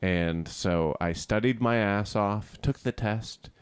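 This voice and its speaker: A young man with a low-pitched voice co-hosts a podcast in loose, casual chat. He rambles, trailing off and restarting his sentences with plenty of "uh" and "like". The recording is dry and close, with no room sound. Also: takes it slowly, clear, resonant tone, no echo. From Cape Cod, Massachusetts. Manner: rambling, hesitant, many fillers and restarts. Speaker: podcast co-host.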